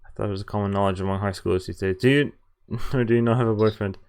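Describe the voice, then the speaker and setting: A man's voice: fairly low pitch, fairly even intonation, rapid-fire, a little mellow, smooth. A man streaming a visual novel, reading its dialogue aloud and reacting casually in a jokey way with some swearing. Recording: music under the voice. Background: game music.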